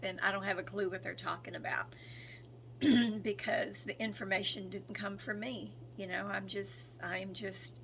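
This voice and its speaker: Hoarse woman, very slow, hesitant, clears her throat often; faint background noise. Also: medium pitch, bright, forceful tone. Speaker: spiritual channel and author. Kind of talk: casual spoken update.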